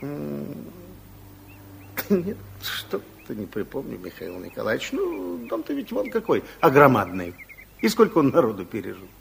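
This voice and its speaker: Creaky old working guy, folksy rambler, pitch jumping all over, old radio play. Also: huge pitch swings, unhurried, heavily acted. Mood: evasive, musing. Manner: colloquial, exclamatory, rambling. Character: chatty, folksy old retired Moscow plumber, deferential. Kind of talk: character acting in a 1960s radio play. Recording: 1960s radio recording, faint noise.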